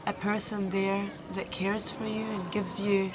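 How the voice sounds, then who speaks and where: A young woman volunteer answers interview questions, earnest and halting, with frequent ums and restarts. Her voice stays fairly level in pitch at a medium height. The recording carries clear background noise throughout. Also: flat delivery. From New Zealand. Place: Egyptian village, indoors or outdoors. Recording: noisy background.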